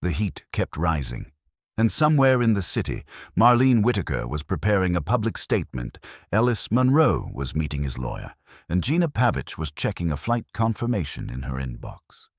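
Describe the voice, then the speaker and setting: Very deep-voiced male story narrator. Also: big pitch swings, moderate pace, breathy edge, no room sound, clean studio sound. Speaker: audiobook narrator. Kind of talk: scripted first-person detective story narration.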